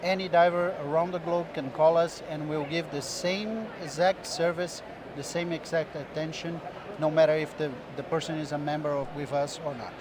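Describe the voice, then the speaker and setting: Brazilian man, middle-aged physician guest, slightly raised pitch, measured explainer, noisy trade floor behind. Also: fairly even intonation. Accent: Brazilian Portuguese. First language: Portuguese. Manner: measured, explanatory, with small restarts. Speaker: diving medicine physician guest. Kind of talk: interview answers. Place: busy expo booth floor.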